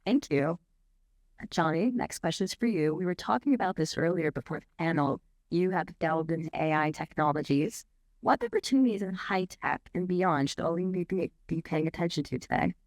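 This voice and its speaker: A woman moderating a live panel discussion, asking measured questions and introducing the speakers. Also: fairly low pitch, lively intonation, darkish tone, no echo, low-quality recording. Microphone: handheld microphone.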